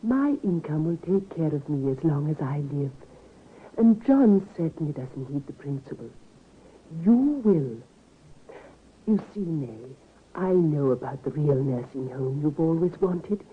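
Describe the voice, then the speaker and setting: An actress playing a frail, earnest middle-aged daughter in an old-time radio drama, gentle but insistent. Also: female voice, deep voice, animated, measured pace. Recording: noisy, narrow-band vintage radio recording.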